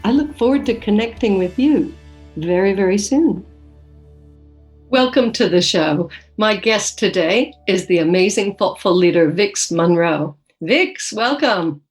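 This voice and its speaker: A woman's voice: medium pitch, lively intonation, a little slow, soft background music. A woman hosts a podcast interview in a mid-range voice. She sounds upbeat and welcoming as she asks her guest questions. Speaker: podcast host.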